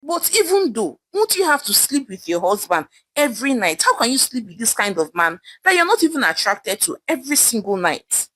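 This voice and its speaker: A woman's voice: fairly high pitch, very animated, moderate pace, hardly any pauses, bright, forceful tone, no room sound, full character voice. A woman voicing a worried, disgusted young friend in a folktale, exclaiming reproachfully with a Nigerian accent. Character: alarmed, disgusted young woman friend scolding her roommate.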